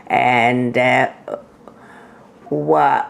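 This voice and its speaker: A woman in her nineties, from Hungary, telling her life story slowly in an interview, with many pauses and fillers. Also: extremely low pitch, fairly even intonation, gravelly, slight background noise. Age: 90s. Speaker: interview guest. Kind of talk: oral history interview.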